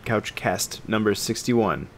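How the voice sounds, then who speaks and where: A man's voice: fairly low pitch, takes it slowly, a bit bright. Casual male podcast co-host, noisy background. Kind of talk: casual chat. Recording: noticeable background noise.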